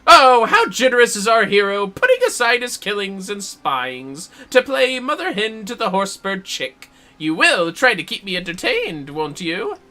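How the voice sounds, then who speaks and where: A woman's voice: medium pitch, sing-song, moderate pace, bright, forceful tone. A woman voicing a flamboyant male shinobi villain from a game, gleefully mocking, grandiose and theatrical in manner. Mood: gleeful taunting. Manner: grandiose, taunting, talks in third person. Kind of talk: theatrical game dialogue read aloud.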